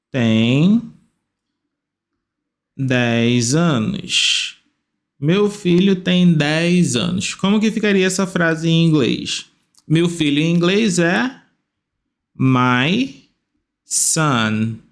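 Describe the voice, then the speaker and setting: Male English teacher, slightly high voice, drawn-out patient delivery, repeats each phrase. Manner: repeats phrases slowly, stretching words, lively rising questions. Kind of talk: slow step-by-step grammar lesson.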